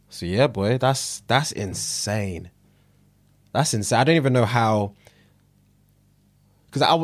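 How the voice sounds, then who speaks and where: A British man with a London accent and a fairly low voice, recorded very dry. He co-hosts a podcast in casual, slangy conversation, often repeating phrases to drive them home. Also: no echo. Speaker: podcast co-host.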